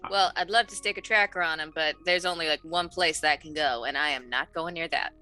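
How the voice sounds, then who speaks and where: A woman with a bright, forward voice improvises as a player in a tabletop game. She is slangy, informal and animated, with faint music underneath. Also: low voice, fairly quick. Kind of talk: casual improvised roleplay chat.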